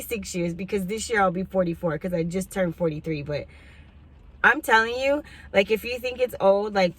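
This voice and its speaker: A woman, chatting casually to camera as a couponing vlogger, rambling through asides and clearing her throat now and then. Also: mid-range voice, a bit bright. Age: 40s. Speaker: couponing YouTuber.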